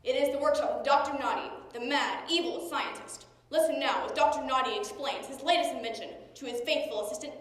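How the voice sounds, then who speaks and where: A woman's voice: high voice, brisk, hardly any pauses, lightly acted. A woman playing a theatrical, declamatory announcer who narrates an amateur radio serial. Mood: dramatic. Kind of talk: scripted radio drama narration. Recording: echoey hall, long reverb.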